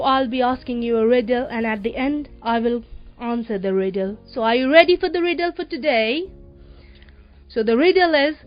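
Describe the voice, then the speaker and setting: High-voiced Nepali-accented woman storyteller over music. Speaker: children's radio show host and storyteller. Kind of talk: read-aloud children's storytelling, radio presenting. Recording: dull lo-fi radio audio with music.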